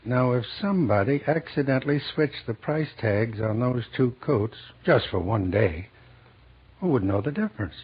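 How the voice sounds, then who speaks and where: An older actor in an old-time radio drama, playing a lonely, money-minded old store owner who talks in a brisk, blustery way. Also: male voice, medium pitch, lively intonation, slightly rough. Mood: musing. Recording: dull vintage 1940s radio recording.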